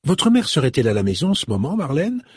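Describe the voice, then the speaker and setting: A man's voice: a little high, huge pitch swings, breathy edge, no echo, clean studio sound, heavily acted. A man voicing a polite, composed middle-aged woman in an audiobook, in a slightly raised voice. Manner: courteous, measured.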